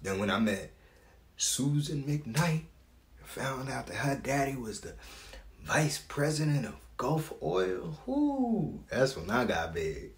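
A man's voice: lowish voice, vocal fry, exaggerated voice. A man acting a dramatic stage monologue as a proud, wounded grown son speaking to his father. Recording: echoey room.

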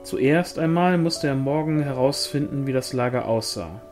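A man's voice: mid-range voice, moderate pace, over music. A man reading a novel aloud as scripted audiobook narration. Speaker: audiobook reader.

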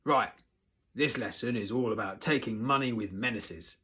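A man voicing a loud, blustering male Viking warrior teacher in a storybook, roaring out commands. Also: lowish voice, moderate pace, breathy edge. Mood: commanding.

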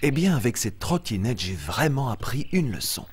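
An animated, friendly lion storyteller. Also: male voice, medium pitch, normal speed, slightly rough. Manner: expressive, simple explaining to small children. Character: friendly young lion storyteller, warm and eager. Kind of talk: children's show dialogue and storytelling. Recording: music bed under the voice.

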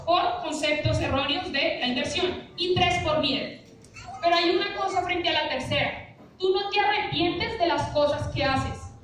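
A woman's voice: very high-pitched, fairly bright tone. A young woman giving a punchy, rhetorical finance seminar to a room, often asking "¿listo?". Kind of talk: motivational seminar talk. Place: large lecture room with a projector screen, recorded by an audience phone. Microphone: audience phone camera, from afar. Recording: echoey room from far off, faint hiss.

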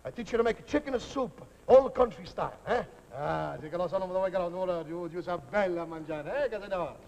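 A man's voice: sits high, expressive, quick pace, vocal fry, darkish tone. A man playing a jolly old-country Italian cook with an Italian accent, rambling in broken English before a live audience. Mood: jovial. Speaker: comic cook. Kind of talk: live comedy sketch. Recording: live audience laughter, noisy old recording.

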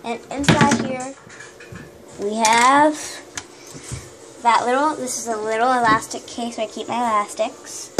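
Slow, chatty young woman on a noisy phone video. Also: a little high. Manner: rambling, giggly, chatty. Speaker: vlogger giving a home tour. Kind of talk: casual home tour narration. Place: small house, kitchen and bathroom. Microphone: handheld phone camera.

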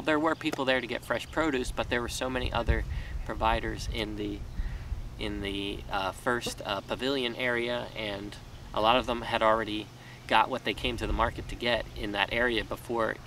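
Man, 30s, gravelly, bright timbre, rambling casual talk, outdoors, distant camera mic, faint background noise. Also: on the low side. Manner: rambling, with many fillers and restarts. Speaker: small farmer. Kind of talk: casual talking-head vlog. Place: outdoors in a vegetable garden. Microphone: camera mic from a few feet away.

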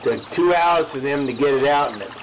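A man's voice: medium pitch, a little fast, slight background noise, lightly acted, rough recording. A man playing a grizzled fisherman in a parody radio drama, telling his grim tale in a folksy, grave way. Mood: wry. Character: grizzled veteran muskie fisherman recounting a grim ordeal, spoofing a salty sea hunter.

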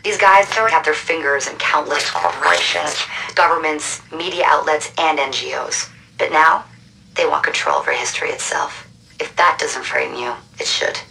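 Guarded female hacker, low pitch, forceful edge, brisk businesslike briefing, game cutscene. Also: lively intonation, exaggerated voice, low-quality recording. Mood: urgent, businesslike. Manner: matter-of-fact, businesslike. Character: brisk, guarded woman hacker leading a resistance cell. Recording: clear background noise.

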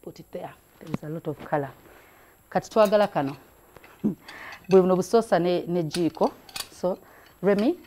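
Middle-aged woman, craft host, low mellow voice, chatty and encouraging, indoor room with a camera mic. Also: a little hiss or hum. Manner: mixes languages, chatty and encouraging. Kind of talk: hands-on craft demonstration.

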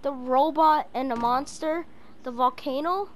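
A woman's voice: sits high, a little slow, crowd noise. A woman improvising role-play in a game voice chat, with a blunt, teasing way of talking. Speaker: player in a role-play gaming session. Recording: noisy home headset game chat.

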